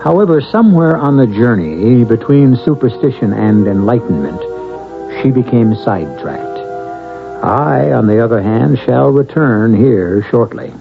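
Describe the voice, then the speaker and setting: A man's voice: mid-range voice, expressive, unhurried, vocal fry. Formal american guy hosting old radio mystery show, theatrical, muffled, music behind. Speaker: radio drama host. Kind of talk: 1970s radio scripted narration.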